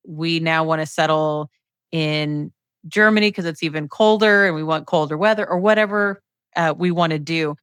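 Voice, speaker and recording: A woman's voice: sits low, a bit bright, smooth, dry recording, studio quality. A woman hosting a podcast, talking casually and hesitantly with many fillers. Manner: chatty, hesitant, with "um" and "you know" fillers. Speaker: podcast host.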